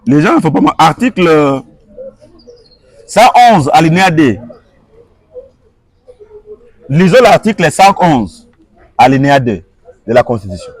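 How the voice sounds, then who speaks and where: A man's voice: a little high, very animated, normal speed, pauses often, no echo. A man answering an interviewer, arguing his point with repeated rhetorical questions and switching between French and Lingala. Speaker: guest.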